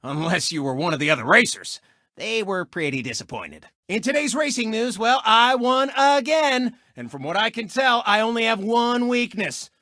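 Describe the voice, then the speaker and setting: A man's voice: high-pitched, animated, normal speed, pushed and bright, dry recording, studio quality. A man voicing a cocky, egotistical race car who hosts a TV racing show, with boastful, mocking showboat delivery in a video game. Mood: mocking scorn, then smug boasting. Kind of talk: animated video game sportscast patter.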